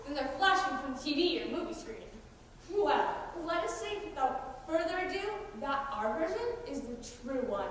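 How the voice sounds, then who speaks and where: Eager, chatty stage narrator, played by a young woman, high-pitched, presentational, heard from afar in a reverberant hall. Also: a bit bright. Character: chatty, earnest female narrator of merry band. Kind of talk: high school stage comedy acting. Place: school auditorium stage. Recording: echoey hall, distant mic, noisy.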